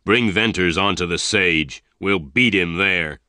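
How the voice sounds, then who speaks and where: A man's voice: deep voice, a little slow, bright, forceful tone, dry recording, studio quality. Man doing an angry, threatening gang leader. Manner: harsh, threatening.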